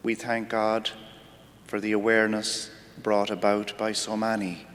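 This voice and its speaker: A man with an Irish accent, a priest giving a weekday homily in a slow, reflective, measured way. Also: monotone, a bit bright. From Ireland. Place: church. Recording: church hall reverb with faint rumble.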